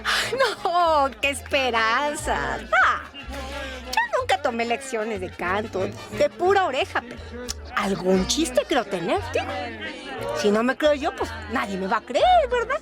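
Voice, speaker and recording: A giggly, talkative waitress with singing dreams in a radio play. Her voice sits very high and swings freely, rambling in homely colloquial speech over a bed of music. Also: wildly swooping pitch, takes it slowly, heavily acted. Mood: bashful, hopeful. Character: chatty, giggly barroom waitress and aspiring singer. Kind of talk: radio drama acting.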